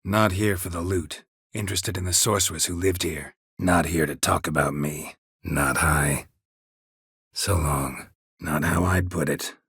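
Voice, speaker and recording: A man playing a gruff, stoic, sardonic monster-hunting mercenary in a video game, terse and deadpan with a gravelly voice. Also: sits low, measured pace, no room sound, clean studio sound, full character voice. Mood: dry, guarded. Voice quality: gravelly, husky. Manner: terse, deadpan, clipped sentences. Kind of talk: video game dialogue acting.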